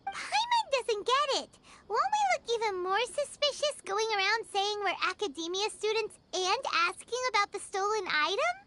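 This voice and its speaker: A woman's voice: extremely high pitch, animated, moderate pace, background noise. A woman voicing a small, chatty floating fairy girl companion who talks about herself by name, in a video game. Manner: chirpy, refers to herself by name. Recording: game audio with background music.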